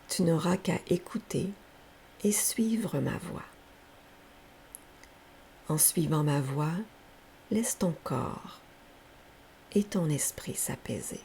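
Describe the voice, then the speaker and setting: A woman leading a guided yoga nidra relaxation as a teacher, speaking softly and breathily in gentle, unhurried, soothing instructions. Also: on the low side. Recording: faint steady background hiss.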